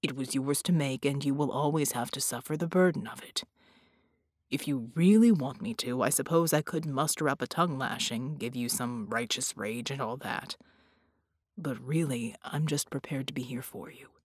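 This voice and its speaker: A woman voicing a gruff but gentle, stoic man in an audiobook, in a low, measured murmur. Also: no echo, clean studio sound. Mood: grave, forgiving. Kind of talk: audiobook character dialogue.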